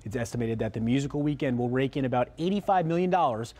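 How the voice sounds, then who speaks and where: A man's voice: mid-range voice, quick pace. A man with an American accent reading scripted news as an anchor.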